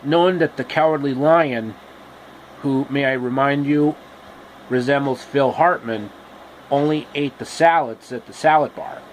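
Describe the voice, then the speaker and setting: Slow, halting man telling a casual story. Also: mid-range voice, slight background noise, low-quality recording.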